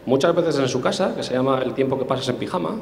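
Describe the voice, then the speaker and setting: A man's voice: medium pitch, fast. A man from explaining things as an interview guest, talking thoughtfully with frequent hesitations and restarts. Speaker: interview guest, tech specialist. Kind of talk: conversational explanation. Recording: roomy hall with long reverb.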